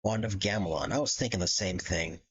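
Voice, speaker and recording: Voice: low-pitched, fairly quick, a bit bright, husky, highs cut off. A live streamer chatting casually in a rambling, giggly way and swearing freely. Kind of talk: casual livestream chat.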